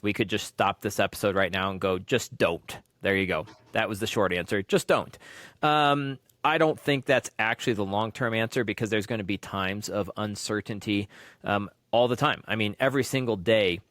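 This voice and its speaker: A man's voice: fairly low pitch, moderate pace, a bit bright, dry recording, studio quality. A man co-hosting a casual podcast, talking in a laid-back, rambling way and calling his partner "man" and "dude.". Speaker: podcast co-host.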